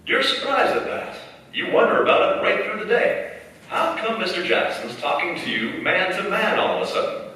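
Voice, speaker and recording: A folksy, conversational narrator played by a man in 1940s radio drama style. His voice is bright and very animated, recorded from afar in a very echoey space. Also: high voice, moderate pace, distant mic, heavily acted. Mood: wry, knowing. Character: folksy inner-voice narrator addressing the hero as you. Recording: echoey stage from afar, faint noise.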